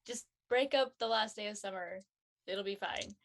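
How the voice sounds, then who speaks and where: A woman chatting casually as a panel guest, informal, emphatic and quick to give her opinion. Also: mid-range voice. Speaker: guest on a web show panel. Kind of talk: casual chat.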